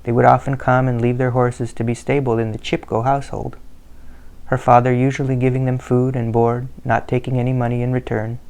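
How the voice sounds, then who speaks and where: A man reads a family history aloud in a steady, measured way. His voice stays flat and even and has a gravelly edge. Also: medium pitch. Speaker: narrator. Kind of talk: scripted narration of a family history.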